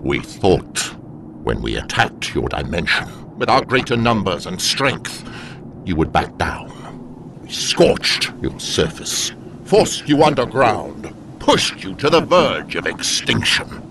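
Demon overlord: deep, gravelly, bitter and theatrical menace for audio drama. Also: male voice, very animated, background noise, full character voice. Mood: bitter, accusing. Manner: slow, theatrical, taunting and accusing. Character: wrathful, menacing demon overlord, grieving for his race.

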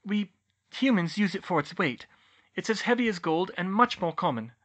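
A man's voice: high voice, animated, normal speed, a bit bright, voice pushed up. A man voicing a brainy young witch for a story, earnest and polite as she explains herself. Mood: nervous, earnest. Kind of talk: audiobook character voice.

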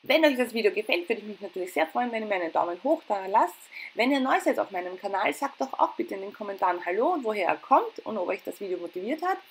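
A middle-aged Austrian-accented woman talks through home chores and cooking as a casual vlogger. Her voice sits at an ordinary pitch, and her delivery is informal and self-deprecating. A light layer of background noise runs behind it. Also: sing-song, quick pace, few pauses. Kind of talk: casual voice-over narration of household routine.

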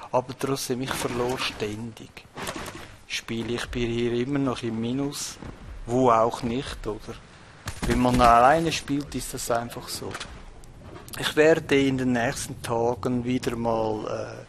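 A man's voice: mid-range voice, background noise. A Swiss man with a Swiss German accent, rambling bluntly with many fillers while commenting on his own gameplay. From Switzerland. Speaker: gaming channel host.

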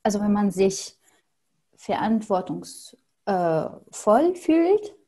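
Syrian woman, mellow and hesitant. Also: mid-range voice, sing-song, takes it slowly, breathy edge. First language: Arabic. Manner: thoughtful, hesitant with frequent fillers. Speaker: podcast guest. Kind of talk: casual interview answers. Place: small home room with paintings on wall.

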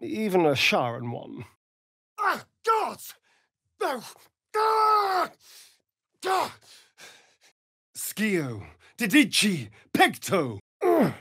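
A sardonic, vain elven vampire rogue from a fantasy game, with an English accent. His voice is breathy and close, recorded very dry. He draws his words out in an arch, mocking way, with theatrical swoops in pitch. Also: huge pitch swings, very slow, long pauses, sharp tone, no room sound, full character voice. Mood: low, cool, then loud, exclaiming. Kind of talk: video game character voice lines, theatrical delivery.

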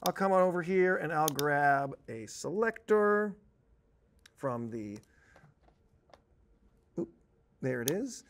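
A man in his thirties with a fairly high voice teaches software in a conversational, emphatic way. He talks as a trainer walking through an on-screen demonstration, with some noise behind him. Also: expressive, slow, long pauses. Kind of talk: tutorial presentation with on-screen demonstration. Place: indoor presentation studio at a desk.

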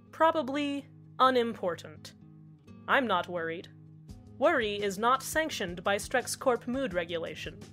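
A woman's voice: medium pitch, a bit bright. A woman playing a lonely radio host in an audio drama who insists that everything is fine. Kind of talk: scripted fan audio drama, radio monologue.

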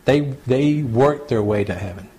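A man, a pastor teaching scripture to a small home church group in a relaxed, repetitive, conversational way. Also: mid-range voice, moderate pace. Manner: conversational, repeats points, addresses group as y'all. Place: small home gathering, recorded to CD.

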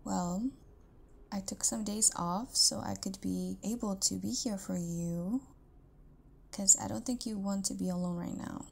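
Woman acting as a husky, creaky-voiced caring partner. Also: medium pitch, steady tone, unhurried. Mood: excited, supportive. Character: caring, tender live-in partner of an amnesiac lover. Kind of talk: intimate audio roleplay acting, casual and conversational.

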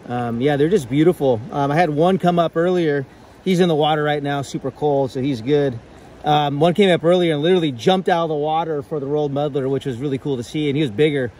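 Man, casual fishing vlog, higher-pitched voice, faint outdoor noise, hesitant. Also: quick pace. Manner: relaxed, hesitant, many fillers and restarts. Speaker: fly fishing vlogger. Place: outdoors by a river.

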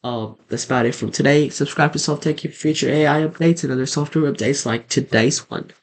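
A man's voice: mid-range voice, fairly quick, breathy edge. A man hosting a tech channel, narrating a scripted screen tour of a website.

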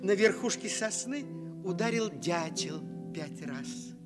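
Male radio storyteller: high, animated voice, tender fairy-tale delivery with music underneath. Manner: tender, dramatic pauses. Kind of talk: expressive fairy-tale narration, Soviet radio.

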